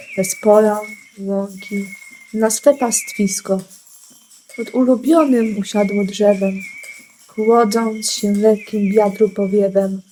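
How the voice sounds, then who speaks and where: A woman reading a rhymed children's story in measured, expressive verse on a very noisy amateur recording. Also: mid-range voice. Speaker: storyteller in a community radio play.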